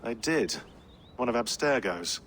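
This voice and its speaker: A man playing a dry, teasing team member in naturalistic video game dialogue, with a deadpan manner. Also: mid-range voice, a little slow. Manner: deadpan, wry.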